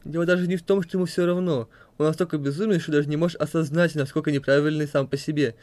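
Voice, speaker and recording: A man's voice: a little high, fairly even intonation, fast, darkish tone. A man reading questions and explanations aloud as narrator of an amateur comic dub.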